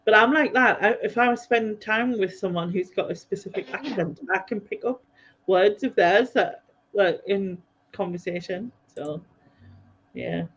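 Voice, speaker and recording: A woman's voice: mid-range voice, unhurried, lo-fi sound. A woman with a North East English accent, hosting a casual chat podcast and rambling in a chatty way with many fillers. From North East England. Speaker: podcast host.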